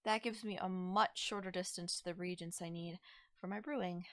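A woman's voice: on the low side, fairly even intonation, no room sound, studio quality, close to an everyday voice. A woman playing a teasing young forest witch in an audio roleplay, coy and soothing as she talks directly to the listener. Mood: breezy, confiding. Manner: coy, soothing, conversational with drawn-out words. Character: playful, teasing young female forest witch, gently reassuring. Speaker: roleplay voice actor.

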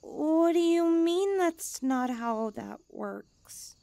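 A woman's voice: high-pitched, lively intonation, drawn-out words, vocal fry, mellow, dry recording, exaggerated voice. A woman playing a haughty, needy young stray catgirl, blunt and cutesy, in an ASMR comfort roleplay. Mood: puzzled, pouty.

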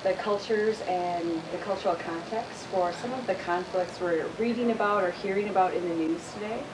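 A woman in her thirties moderating a roundtable discussion, phrasing things formally and carefully in a conference room. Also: fairly low pitch. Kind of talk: measured panel moderation. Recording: distant mic, hissy room ambience.

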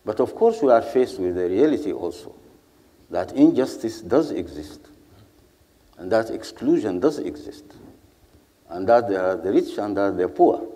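Older male panelist, Sudanese accent, dark warm tone, measured public speaking, long hall reverb. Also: on the low side. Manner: measured, deliberate, rhetorical repetition. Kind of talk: panel speech, earnest public address. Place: seated on a stage in a large hall.

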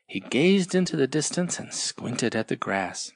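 Children's story narration, unhurried, expressive, animated intonation, dry recording. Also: mid-range voice, no room sound.

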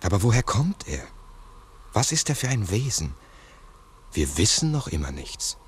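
An actor playing a sceptical, methodical investigator in a 1960s radio drama, deliberately reasoning aloud in formal speech. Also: male voice, lowish voice, big pitch swings, husky, full character voice. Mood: pondering.

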